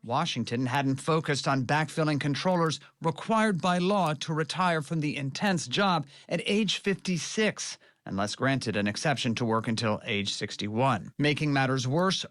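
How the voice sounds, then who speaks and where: A man's voice: on the high side, animated, unhurried, hardly any pauses, fairly bright tone, no room sound, studio quality. A man reading scripted narration for a television news report as a correspondent.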